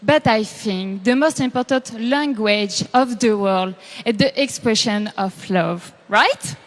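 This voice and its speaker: Young woman, strong French accent, native French speaker, high pitch, slow pace, stage introduction. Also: slight background noise. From France. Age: young adult. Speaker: pageant contestant.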